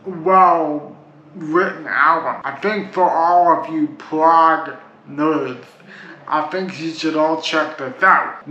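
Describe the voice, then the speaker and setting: A man's voice: a little high, sharp tone. A Canadian man reviewing albums in a casual, rambling way, full of "like" and "uh". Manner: rambling, chatty, restarts with "like" and "uh". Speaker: music reviewer. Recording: dull lo-fi sound in an echoey room with faint noise.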